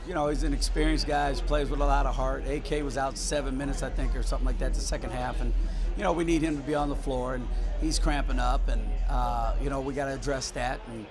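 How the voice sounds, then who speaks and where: A man in his fifties, a basketball head coach, speaking candidly at a post-game press conference in a small locker room, talking haltingly with many restarts and fillers into a microphone in front of him. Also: mid-range voice. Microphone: table microphone in front.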